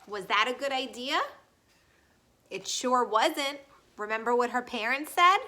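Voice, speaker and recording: A woman reading a story aloud for young children in an animated, emphatic way, pausing for question asides. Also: fairly high pitch. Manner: animated, emphatic, drawn-out key words. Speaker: storybook reader.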